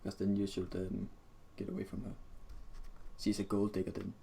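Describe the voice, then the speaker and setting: A man's voice: deep voice, completely flat delivery, fairly quick, vocal fry, mellow, slightly rough. A man chatting casually over a video game as co-host, with joking, teasing banter. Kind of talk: casual chat over gameplay. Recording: noisy home mic with keyboard clicks.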